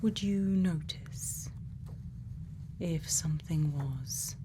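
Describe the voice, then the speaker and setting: Female ASMR performer as a caring scientist: soft-spoken to whispered, unhurried and deliberate, close-miked with some background noise. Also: low voice. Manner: slow, hushed, deliberate, with thoughtful pauses. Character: gentle, caring female scientist tending a robot. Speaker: ASMR creator.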